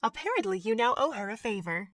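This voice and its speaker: A woman's voice: fairly high pitch, sing-song, fast talker, fairly bright tone, slight background noise. A woman playing a prim, dutiful artificial assistant in an audio drama, speaking formally and precisely.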